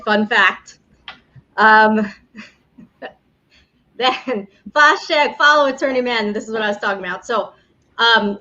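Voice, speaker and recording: New york lady hosting a live show, kinda high voice, talks on and on, muffled stream audio. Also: fairly bright tone. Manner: chatty, rambling with restarts. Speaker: game show host, immigration attorney. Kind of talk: casual livestream talk. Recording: dull lo-fi livestream audio.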